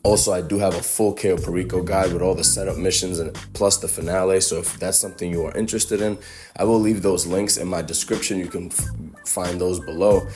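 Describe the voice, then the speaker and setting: A young man with a very low voice talks through a game run in a relaxed, chatty way, with plenty of ums and uhs. His voice is a little hoarse from a cold. Loud game music plays clearly behind him. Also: brisk. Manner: laid-back, chatty, with many fillers. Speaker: gaming guide creator. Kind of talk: casual game walkthrough commentary.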